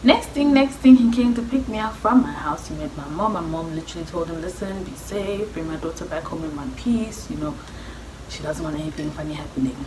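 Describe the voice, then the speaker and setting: Vlogger, young woman, South African accent, low register, chatty slangy storyteller who plays out dialogue. Echoey, noisy room recording. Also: a little fast. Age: young adult. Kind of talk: casual personal storytelling to viewers. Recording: echoey room with clear background noise.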